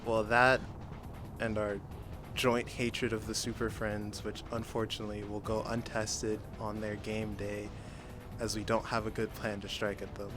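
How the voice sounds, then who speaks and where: A man playing a dour, droll supervillain with an understated deadpan manner in a comedic radio play. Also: on the low side, unhurried, over music, lightly acted. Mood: sardonic.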